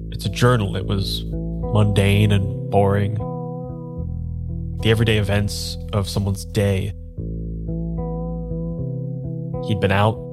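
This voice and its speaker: An actor playing a wistful, apologetic man without his memory in an improvised audio drama, speaking haltingly over music. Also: lowish voice, lightly acted. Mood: wistful, reflective. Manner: halting, repeats himself, hesitant. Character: uneasy amnesiac man, apologetic and wistful, narrating his search. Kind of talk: improvised audio drama, first-person narration and dialogue.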